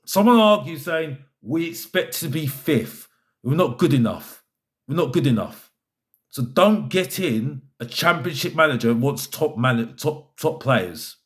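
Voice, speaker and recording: A man's voice: on the high side, expressive, measured pace. A man giving an opinionated football fan rant, exasperated and emphatic, repeating his phrases to drive points home. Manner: exasperated, fed up.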